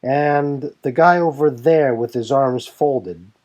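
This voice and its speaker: A man's voice: mid-range voice, takes it slowly, a little mellow, voice pushed up, lightly acted. A man voicing a composed young leader of a warrior band who explains things formally in a fantasy audio drama. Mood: welcoming, composed. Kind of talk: fan audio drama dialogue.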